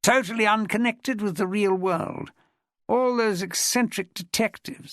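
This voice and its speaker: A man's voice: sits high, fairly bright tone, no echo, studio quality, voice pushed up. A man voicing a brash, eccentric man with a posh public-school manner, in a storybook reading.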